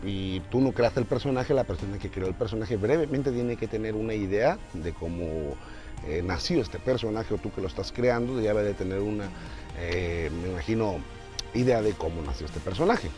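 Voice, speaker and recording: A man's voice: lowish voice, darkish tone. A man in his twenties hosting an informal tutorial, explaining step by step with repeated points and rhetorical questions. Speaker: video host teaching voice creation for dubbing.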